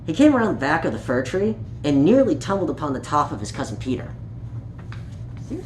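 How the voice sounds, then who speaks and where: Lively storyteller in an echoey room. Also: medium pitch, brisk. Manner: playful, showing the pictures, stumbles over words while reading. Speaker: storyteller reading to children. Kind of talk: animated children's story reading with asides. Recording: echoey room with light background noise.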